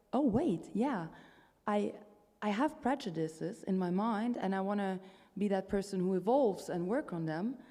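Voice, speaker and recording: A woman's voice: medium pitch, mellow. A woman speaking on a conference panel, thoughtful and earnest. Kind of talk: panel discussion. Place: conference hall. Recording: reverberant conference hall.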